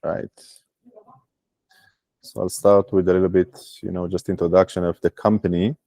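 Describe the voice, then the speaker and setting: Startup founder from Israel, low voice, dry close sound, halting casual delivery. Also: male voice, level tone, vocal fry, warm tone, dry recording. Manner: hesitant, many fillers. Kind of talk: casual business video call.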